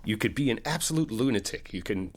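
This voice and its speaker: A young man in an ASMR comfort roleplay, talking to the listener as a reassuring friend in a chatty way with soft laughs. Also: lowish voice, animated, fast.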